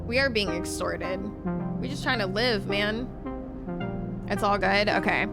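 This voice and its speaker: A woman streamer, winded and slightly hoarse from reading aloud, chatting casually with many ums and repeated words. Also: mid-range voice, measured pace, loud music behind the voice. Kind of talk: casual stream sign-off chat.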